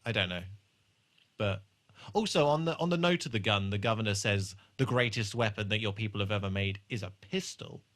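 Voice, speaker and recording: A man's voice: on the low side, brisk, fairly bright tone, slight background noise. A man hosting a podcast, casually talking through a TV episode in a blunt, sweary way. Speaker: podcast host. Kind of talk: casual chat, TV episode discussion.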